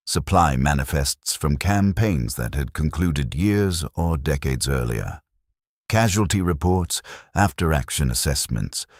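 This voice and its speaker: A man narrating a scripted first-person story in a solemn, measured, deliberate way, with cinematic gravity. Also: extremely low pitch, no room sound, studio quality.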